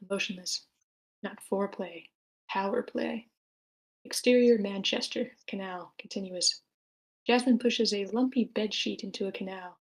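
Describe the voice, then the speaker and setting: A woman's voice: medium pitch, rough recording. A woman reading out scripted stage directions as the narrator of a screenplay table read.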